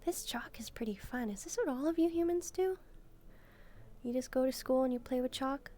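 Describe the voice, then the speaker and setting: Woman playing a soft, mellow, timid demon maid. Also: high-pitched, lively intonation, normal speed, slight background noise. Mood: gentle, chatty. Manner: hesitant, timid, musing aloud. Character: gentle, shy female lesser demon maid. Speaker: roleplay video creator. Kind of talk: soft-spoken first-person roleplay, relaxing.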